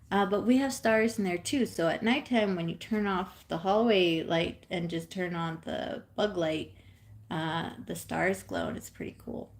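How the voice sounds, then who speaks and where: A woman's voice: mid-range voice, darkish tone. A woman in her thirties from California, chatting casually on a live stream at home while she knits, rambling with many ums and small laughs.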